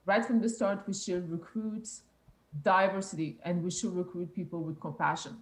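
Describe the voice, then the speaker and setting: A woman police officer with a French accent, answering interview questions in a halting way and often repeating words. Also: lowish voice, fairly even intonation, poor audio.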